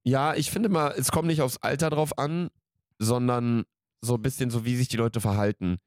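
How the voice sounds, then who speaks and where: A man's voice: medium pitch, fairly even intonation, moderate pace, no echo, clean studio sound. A man in his late twenties co-hosting a podcast in casual, slangy, jokey banter. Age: late 20s. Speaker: podcast co-host.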